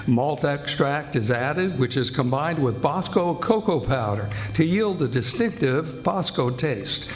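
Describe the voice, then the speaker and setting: A man's voice: on the high side, animated, a little slow, few pauses. An American man announcing a live stage show in the scripted style of 1940s radio. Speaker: announcer and host.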